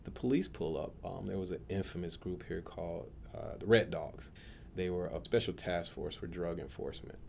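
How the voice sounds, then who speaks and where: A man in his thirties from the Atlanta area speaks in a low-pitched voice. He tells his own story earnestly, with deliberate phrasing and firm emphasis. Faint noise sits behind him. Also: vocal fry, darkish tone. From United States, Atlanta area. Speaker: public defender sharing personal story. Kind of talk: personal testimony, autobiographical storytelling.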